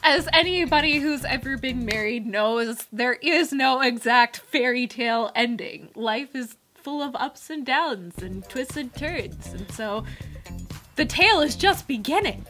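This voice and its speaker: Woman, crisp bright tone, casual explanatory commentary, slightly high pitch, expressive. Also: a little slow, soft background music. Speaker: co-host of a commentary show. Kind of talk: casual photo commentary chat.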